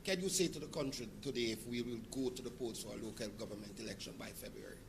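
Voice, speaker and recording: A man's voice: mid-range voice, fast, gravelly. A man with a Jamaican accent, a journalist asking a direct question at a press conference. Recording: background room noise.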